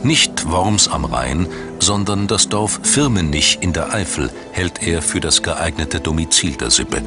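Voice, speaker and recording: Male documentary narrator, very deep register, scripted delivery over prominent music. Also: animated, measured pace.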